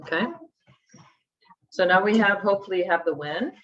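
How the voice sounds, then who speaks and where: A woman's voice: medium pitch, normal speed. A woman in her forties teaching a live workshop, conversational as she talks through each step aloud. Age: 40s. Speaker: instructor. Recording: dull lo-fi sound.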